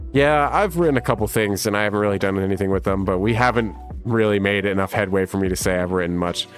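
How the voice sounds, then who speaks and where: A man in his thirties with a rough smoker's voice, casually chatting on a livestream and reading viewer comments, with lots of laughs. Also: low voice. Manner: laid-back and rambling, laughs often. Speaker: livestream host.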